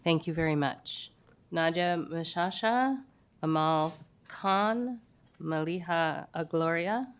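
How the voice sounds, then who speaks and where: A woman chairing a council meeting, calling up speakers with halting, apologetic remarks over hard-to-read names. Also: on the low side, smooth. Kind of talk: meeting procedure.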